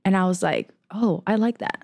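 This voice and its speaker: A young woman from Venezuela co-hosts a podcast and tells her story in a loose, chatty way. Her pitch sits mid-range, and she often slips into little voices when quoting people. The sound is dry and close, with no room heard around her. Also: moderate pace, vocal fry, no room sound. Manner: chatty and expressive, laughs often, acts out quoted voices. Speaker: podcast co-host. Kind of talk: casual chat, personal story.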